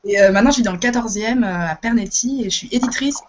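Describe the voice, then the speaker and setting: A woman's voice: mid-range voice, quick pace. A woman in her twenties, an editor, introducing herself in a friendly way in a video chat. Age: 20s. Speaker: participant, editor. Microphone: video call. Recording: dull video call with faint noise.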